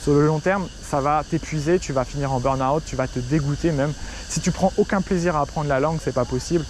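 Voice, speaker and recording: A man's voice: on the high side, a little fast, a little mellow, slight background noise. A young French man who teaches Chinese, giving casual, direct advice straight to the viewer outdoors in front of a city skyline. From France. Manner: chatty, direct, addresses viewer as tu. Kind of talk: casual talking-head advice video.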